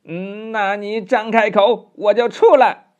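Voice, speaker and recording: A man's voice: high-pitched, fairly even intonation, slow pace, voice pushed up. A man voicing a cocky, bold monkey hero in a storybook tale. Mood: amused. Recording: dull lo-fi storytelling recording with music.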